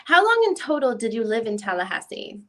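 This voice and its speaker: A woman plays a methodical female attorney questioning a witness. Her voice is bright and forceful, a little high. The questioning is formal, deliberate and probing. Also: very animated. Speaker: reader playing a lawyer. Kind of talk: dramatic reading of a deposition.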